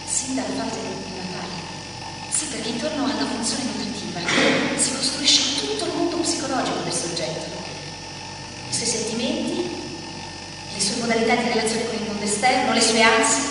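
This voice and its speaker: An actress as an intense high-voiced woman, echoing hall. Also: natural voice. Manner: emphatic, fragmented delivery with rhetorical questions. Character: embittered, devoted female caretaker, intense and volatile. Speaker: stage actor in a radio play. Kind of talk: theatrical dramatic monologue. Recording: very echoey hall, distant mic, clear noise.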